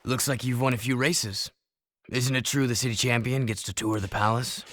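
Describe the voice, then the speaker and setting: A man's voice: mid-range voice, fairly even intonation, moderate pace, fairly bright tone, natural voice. An actor playing a terse, sardonic young male rebel hero in a video game, with a dry manner. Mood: dry, probing. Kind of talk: animated video game voice acting.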